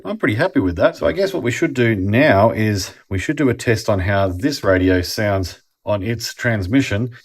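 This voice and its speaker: An Australian man giving a casual, conversational product review and explaining things as he goes. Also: fairly low pitch. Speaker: host of a product review channel.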